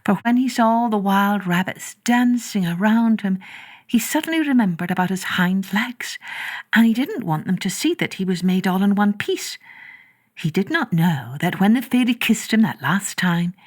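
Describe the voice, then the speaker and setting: A woman storyteller reading a children's tale expressively, with gentle, drawn-out phrasing and touches of breathy whisper. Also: medium pitch, lively intonation.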